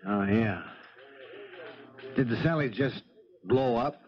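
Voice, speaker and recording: An actor in a 1970s radio drama playing a plain-spoken, inquisitive police detective, terse and questioning. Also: male voice, mid-range voice, takes it slowly, faint music behind, natural voice. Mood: pondering. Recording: muffled 1970s radio recording.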